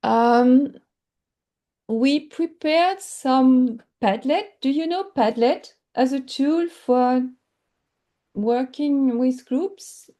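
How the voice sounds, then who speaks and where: Soft-voiced French woman, hesitant. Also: high voice, extremely slow. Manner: hesitant, searching for words with many fillers. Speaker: workshop lecturer. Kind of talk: online teaching session. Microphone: video call.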